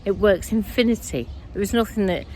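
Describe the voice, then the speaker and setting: A woman speaking as a spiritual medium in a casual, earnest, rambling monologue, her voice croaky and dry. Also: medium pitch, brisk, a little mellow, a little hiss or hum. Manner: earnest, rambling, self-correcting.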